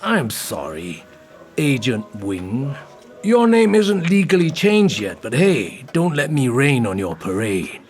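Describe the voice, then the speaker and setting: Lively, persistent senior detective. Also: male voice, mid-range voice, takes it slowly, faint music behind. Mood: wry, insistent. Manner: wry, insistent, self-assured. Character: persistent, smooth-talking middle-aged male senior detective. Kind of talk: audio drama dialogue.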